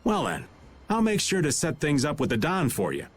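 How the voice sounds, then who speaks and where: A man's voice: fairly high pitch, huge pitch swings, moderate pace, a little hiss or hum. A man playing a roguish, easygoing middle-aged guild veteran in a video game, with a teasing, folksy manner. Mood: breezy, wry. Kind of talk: animated video game dubbing.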